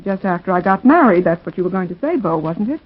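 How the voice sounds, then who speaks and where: An actress playing a wistful widowed actress who talks frankly and earnestly with old friends, in 1940s radio drama style. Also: female voice, on the low side, very animated, fast, slightly rough. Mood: earnest, pained. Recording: muffled 1940s radio recording.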